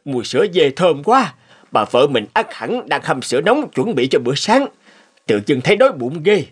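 A man's voice: a little high, big pitch swings, moderate pace. A man voicing a middle-aged, hot-tempered, gullible peasant husband in a folktale cartoon, exclaiming and grumbling. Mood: pleased. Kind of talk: animated folktale cartoon dubbing. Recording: background music under dialogue.

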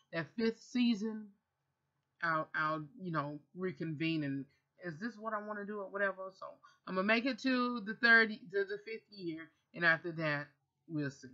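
A woman's voice: on the low side, sharp tone, lo-fi sound. A woman in her thirties answering viewer questions in a casual, rambling vlog from her bedroom, recorded on a close webcam. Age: 30s. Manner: chatty, rambling, self-correcting. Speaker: vlogger and radio host. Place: bedroom, indoors. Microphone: webcam at close range.

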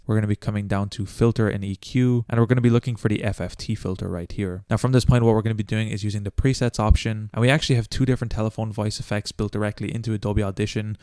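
A man presents a step-by-step software tutorial in a slightly low, smooth voice. He moves along quickly and speaks crisply. The recording is close and dry, with no room sound. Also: no echo. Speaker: tutorial host.

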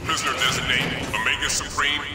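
An actor voicing an impersonal facility security computer in a video game, making official announcements. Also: male voice, mid-range voice, level tone, quick pace, natural voice. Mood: urgent, impersonal. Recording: distant echoing loudspeaker under loud music.

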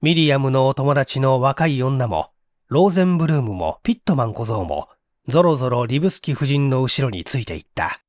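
Animated male mystery narrator. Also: mid-range voice, brisk, no echo, studio quality. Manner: theatrical, suspenseful. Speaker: audiobook narrator, stage actor. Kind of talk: dramatic scripted mystery narration.

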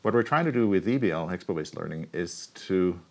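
Low-voiced man explaining in a studio. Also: animated, fairly quick, vocal fry. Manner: thoughtful, explanatory, frequent um and restarts. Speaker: university researcher, keynote guest. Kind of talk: studio interview answers. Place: TV studio set. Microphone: lapel mic.

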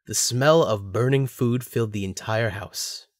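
A man's voice: lowish voice, a little slow, dry recording, clean studio sound. A man narrating a scripted story for an audio drama.

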